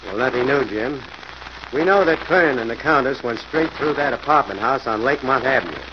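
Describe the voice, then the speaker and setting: A man's voice: medium pitch, lively intonation, a little fast, lightly acted. An actor playing an earnest, reassuring male police inspector in a 1940s radio drama. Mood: businesslike. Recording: muffled, noisy old radio recording.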